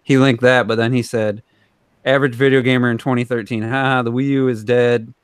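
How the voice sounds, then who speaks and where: A man's voice: medium pitch, level tone, brisk, clear, resonant tone, no room sound, studio quality. A man co-hosting a podcast, talking casually and giving his opinions in a rambling, conversational way. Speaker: podcast co-host.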